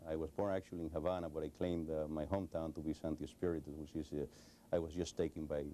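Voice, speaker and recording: A man's voice: extremely low pitch, level tone, quick pace, warm tone. A middle-aged man from Cuba with a Cuban Spanish accent, answering interview questions hesitantly with many fillers and restarts. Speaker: interview guest. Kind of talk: recorded television interview.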